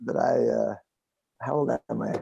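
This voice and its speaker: A man in his forties in a conversational interview, explaining things in a halting, thinking-aloud way. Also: mid-range voice, gravelly, mellow, husky, no echo, dull audio. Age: 40s. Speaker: host interviewing his father.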